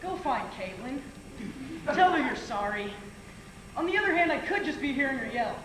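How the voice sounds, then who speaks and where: A man's voice: very high voice, very animated, moderate pace, a bit bright, heavily acted. A young man on stage playing a brash, goofy guy who delivers mock-preachy declarations in an amateur comedy. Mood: comic, preachy. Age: young adult. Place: theater stage, indoors. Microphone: distant audience camcorder. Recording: echoey stage, noisy camcorder audio.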